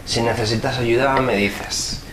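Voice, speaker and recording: A man's voice: medium pitch, steady tone, a little slow. A man from Madrid with a Castilian Spanish accent, chatting casually and playfully as a dance teacher being interviewed. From Madrid, Spain. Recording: clear background noise.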